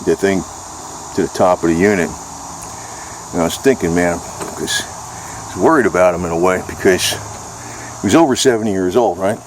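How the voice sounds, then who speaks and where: American male vlogger, lowish voice, plain-spoken and salty, off-the-cuff rambling, slightly noisy amateur recording with vehicle ambience. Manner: informal, salty, matter-of-fact. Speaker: amateur video blogger. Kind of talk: casual rambling vlog chat.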